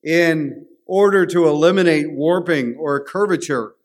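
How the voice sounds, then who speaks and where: A man's voice: a little high, unhurried. A man with a Canadian accent preaching a sermon in a conversational, storytelling way. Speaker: pastor.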